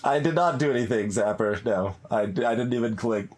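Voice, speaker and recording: A man giving casual live commentary as he streams a game, musing aloud in a halting way. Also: mid-range voice, a little mellow. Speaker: let's play streamer.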